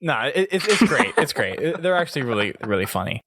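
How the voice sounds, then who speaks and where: A man's voice: fairly high pitch, big pitch swings, a little fast, background noise. A man from the United States hosting a casual gaming podcast in a conversational way. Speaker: podcast host.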